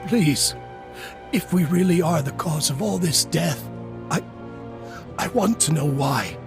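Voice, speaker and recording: A man's voice: on the high side, takes it slowly, music in the background. A man playing a humble, anxious father who works as a servant in a video game, speaking in a meek, earnest, pleading way. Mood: fearful pleading. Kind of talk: video game dramatic acting.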